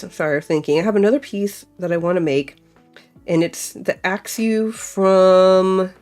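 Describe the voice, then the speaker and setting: A young woman with a slightly low voice talks through her crafting at a home table, musing aloud and peppering her sentences with "like." Faint music plays quietly behind her. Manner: chatty, thinks aloud, many fillers like "like". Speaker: crafting vlogger. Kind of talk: casual chat while crafting.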